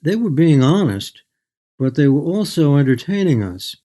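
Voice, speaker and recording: An older man speaking as a guest author in a reflective literary interview, measured, thoughtful and articulate. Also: on the high side, sing-song, mellow.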